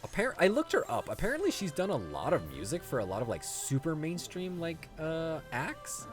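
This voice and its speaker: A man's voice: fairly high pitch, wildly swooping pitch, moderate pace. A man casually streaming a game, easygoing and chuckling often, chatting and reading viewer comments aloud. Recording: music playing behind the voice.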